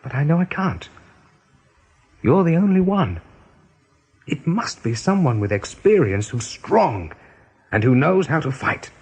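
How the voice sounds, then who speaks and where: An actor playing a determined rabbit chief in a radio play, earnest, commanding and persuasive. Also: male voice, fairly high pitch, sing-song, unhurried, pauses often, darkish tone, slightly rough, exaggerated voice.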